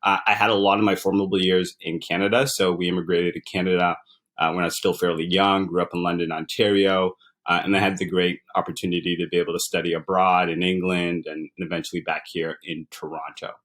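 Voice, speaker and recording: Deep-voiced man reflecting in an interview. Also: little pitch movement, fast talker, a bit bright. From Uganda. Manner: reflective, many fillers and repeated words. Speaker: guest, startup founder and author. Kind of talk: relaxed interview conversation.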